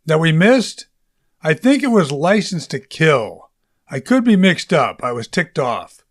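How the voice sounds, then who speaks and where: A chatty local guy, as a male narrator reads him, talks in an easygoing, colloquial way. His voice sits a little high, and he sounds like a casual movie fan in an audiobook story. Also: very animated, a little slow.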